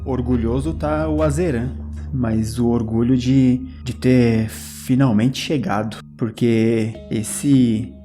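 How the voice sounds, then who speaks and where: A man's voice: mid-range voice, fairly even intonation, slow, dark tone. A man playing a tabletop game, narrating and speaking for his own character. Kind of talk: roleplay narration. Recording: faint music behind.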